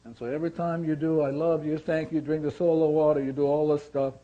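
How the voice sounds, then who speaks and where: A man giving a teaching talk, speaking slowly and emphatically and trailing off quietly, in a dull lo-fi recording. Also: a little high, little pitch movement.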